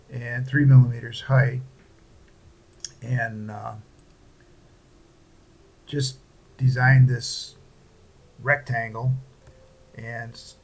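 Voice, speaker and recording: A man talking casually through a hobby project without a script, in a halting, plain-spoken way. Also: medium pitch, gravelly, darkish tone, slightly rough. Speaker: hobbyist presenter. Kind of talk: casual unscripted project walkthrough.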